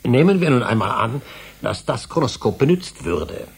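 An actor in a German radio drama playing an older academic, emphatic and lecturing, obsessed with his forbidden research, with a husky voice. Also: mid-range voice, very animated, moderate pace, exaggerated voice. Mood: lecturing, earnest.

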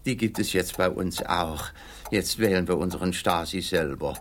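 An actor playing an odd, guileless middle-aged East German client in a comic radio play, hesitant, rambling and naively literal. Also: male voice, low voice, normal speed. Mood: awkward, meandering.

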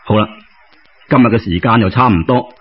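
A man telling a traditional story in Cantonese as a radio storyteller, colloquial and expressive, with exclamations and drawn-out particles. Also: medium pitch, husky. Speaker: storyteller, radio show host. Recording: dull lo-fi radio-style recording.

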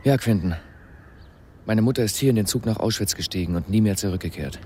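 An actor playing a melancholy middle-aged man in a radio drama, speaking in a reflective, halting, thoughtful way. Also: on the low side, dark tone, a little hiss or hum, natural voice. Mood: sombre, pondering.

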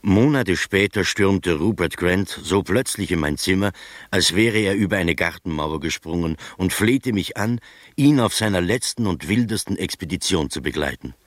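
Deep-voiced gentleman storyteller, dry sound. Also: animated, dry recording. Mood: bemused, recounting. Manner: measured, literary storytelling. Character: gentleman narrator recounting his strange adventures, bemused, literary. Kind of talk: scripted narration in radio drama.

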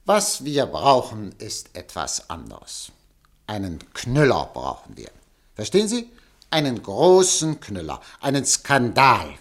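A man's voice: mid-range voice, wildly swooping pitch, slow, exaggerated voice. A man playing a scheming newspaper director in a radio drama, theatrical and feigning innocence. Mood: emphatic. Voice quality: theatrical, feigns innocence, hesitant when cornered. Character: scheming middle-aged male newspaper boss.

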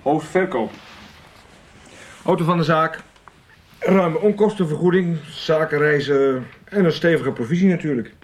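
Actor playing a slick sales manager, roomy sound. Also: male voice, fairly high pitch, measured pace, long pauses, close to an everyday voice. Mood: flirtatious, boastful. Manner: slick, teasing, familiar. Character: smooth-talking, pushy flirtatious male sales manager. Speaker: actor in a radio soap. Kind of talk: 1990s Dutch radio soap acting. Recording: roomy, off-mic, noisy radio drama scene.

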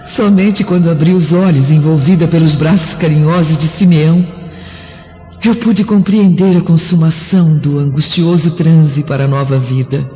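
A woman playing a gentle, wonderstruck martyr's spirit in a solemn, lyrical, declamatory radio drama. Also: low-pitched, close to an everyday voice. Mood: reverent wonder. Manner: measured, lyrical, declamatory storytelling. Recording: muffled old radio drama, long reverb, music.

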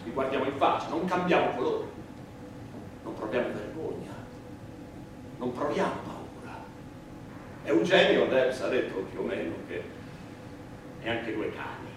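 A man's voice: fairly high pitch, a bit bright. Impassioned condemned prisoner played by a man, echoey hall. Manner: emphatic repetitions, rhetorical oratory. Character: impassioned condemned prisoner addressing the court, defiant and wounded. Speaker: stage actor. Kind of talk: theatrical monologue and dialogue in a stage play for radio. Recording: roomy, noisy, echoing distant stage recording.

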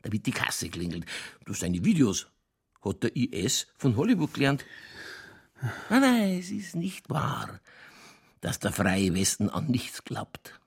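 An actor playing a middle-aged, drunken, ranting Bavarian police chief in a radio crime drama, rambling and crude in a Lower Bavarian accent. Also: male voice, sing-song, a little slow, vocal fry, slightly rough, heavily acted. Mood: brooding. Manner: rambling, folksy, crude, tipsy.

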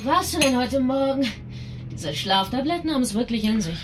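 An actress playing an outwardly innocent, suspicious wife in a German radio drama, measured and questioning. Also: female voice, high voice, animated. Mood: probing.

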